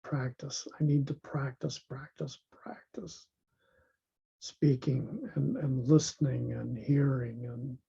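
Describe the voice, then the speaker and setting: A man, worn out from illness, speaks in a slow, halting way with a raspy voice and many pauses and restarts. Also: medium pitch, warm tone. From United States. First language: English. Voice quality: raspy, gravelly. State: worn out from illness, low energy. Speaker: language learner. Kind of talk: self-recorded video intro. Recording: dull lo-fi sound.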